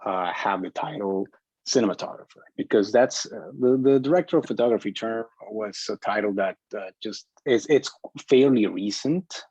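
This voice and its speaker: A man speaking as a filmmaker in a casual group discussion, opinionated and halting, often repeating his words as he argues a point. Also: fairly low pitch, dark tone, breathy edge, no echo, dull audio.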